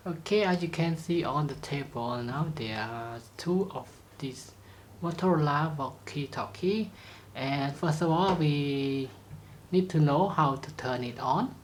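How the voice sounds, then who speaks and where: A presenter giving a step-by-step product demonstration in simple, halting sentences with non-native grammar. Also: medium pitch, a little hiss or hum. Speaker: tutorial presenter.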